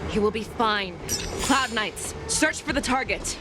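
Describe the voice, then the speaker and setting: A woman voicing a steely, commanding general in a video game with measured authority. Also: fairly high pitch, lightly acted. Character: stern, commanding female general, steely and resolute. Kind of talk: video game cutscene acting. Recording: music behind the voice.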